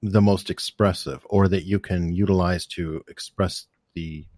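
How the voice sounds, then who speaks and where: A male host speaks in a fairly low voice with little room sound. He sounds curious and thinks out loud while putting questions in a conversational style. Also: steady tone, unhurried, no room sound, clean studio sound. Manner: curious, musing. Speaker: interview host. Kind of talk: conversational interview.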